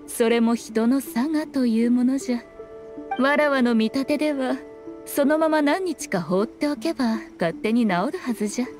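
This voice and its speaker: A woman's voice: high voice, lightly acted. A woman voicing a sly, haughty fox shrine priestess in a game, speaking in an archaic, lofty manner. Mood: composed, dismissive. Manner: archaic, lofty, unhurried speech. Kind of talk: voiced game dialogue. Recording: game music behind the voice.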